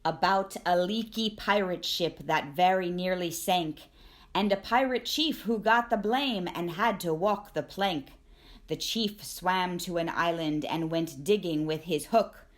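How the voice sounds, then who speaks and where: A woman reading a rhyming children's storybook aloud in an expressive, deliberate storytelling manner. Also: lowish voice. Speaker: teacher reading a picture book aloud.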